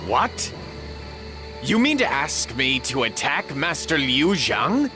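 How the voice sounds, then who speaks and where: Slow, solemn, noble warlord. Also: male voice, medium pitch, sing-song, music in the background. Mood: shocked. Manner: solemn, courteous, deliberate. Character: noble, earnest, kindly male warlord. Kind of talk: dramatic video game voice acting.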